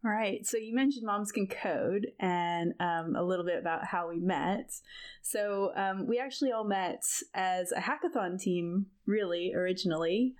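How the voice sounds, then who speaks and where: A woman from California hosting a casual podcast chat over a remote call, chatty and quick to laugh. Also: mid-range voice. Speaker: podcast host.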